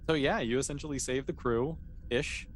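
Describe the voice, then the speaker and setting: A man's voice: mid-range voice, moderate pace. A man speaking as an easygoing, encouraging game master, casually narrating a tabletop game and chatting with players.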